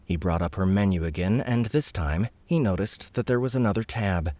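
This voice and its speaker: A man narrating a fantasy audiobook in a steady, measured storytelling manner. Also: low voice, no echo.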